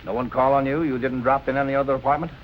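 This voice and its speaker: An actor playing a calm police captain in 1940s film noir style, questioning in a measured, politely insistent way. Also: male voice, medium pitch, highs cut off, a little hiss or hum, natural voice.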